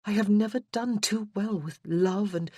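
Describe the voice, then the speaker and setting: A woman's voice: mid-range voice, normal speed, dark tone, dry recording, studio quality, pitched above the performer's own voice, close to an everyday voice. A reader voicing a proud, fiery young betrothed lady from a Regency romance, haughty and fierce in her speech. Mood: intense, haughty.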